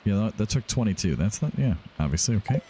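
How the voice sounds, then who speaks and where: A man's voice: on the low side, sing-song, fast, background noise. A man doing casual let's-play commentary as a gaming host, chatting to himself and to the game's characters. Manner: talks to himself and to game characters.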